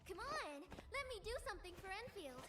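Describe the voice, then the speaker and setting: A woman's voice: extremely high pitch, big pitch swings, a little fast, bright, forceful tone, faint music behind, heavily acted. A woman voicing an eager young heroine in an anime video game. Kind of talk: video game character voice lines.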